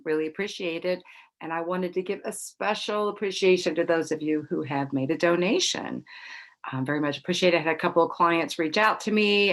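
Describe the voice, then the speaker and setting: A middle-aged woman hosting a casual astrology talk to camera, a little congested after being ill, chatty and relaxed with soft laughs. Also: sits low. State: slightly congested after illness. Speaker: psychic astrology host.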